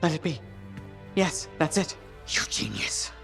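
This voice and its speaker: A man's voice: on the high side, lively intonation, measured pace, creaky, a bit bright, soft background music, exaggerated voice. An actor playing an eccentric man with a fragmenting mind in a video game, rambling and theatrical. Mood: urgent, inspired. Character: unravelling eccentric man, fragmenting mind, fatalistic yet excitable.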